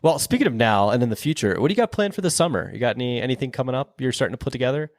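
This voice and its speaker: A man's voice: mid-range voice, animated, rapid-fire, no echo, clean studio sound. A male podcast host chatting casually and enthusiastically with his guest. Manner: laid-back, enthusiastic, says dude and man. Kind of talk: casual interview chat.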